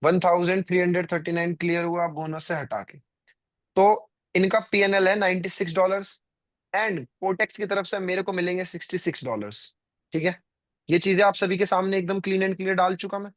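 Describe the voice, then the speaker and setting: A man's voice: high voice, steady tone, normal speed, no echo. A man, chatting casually to viewers on a live stream, rambling and full of fillers. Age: 20s. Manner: rambling, filler-heavy, addresses viewers directly. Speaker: trading stream host.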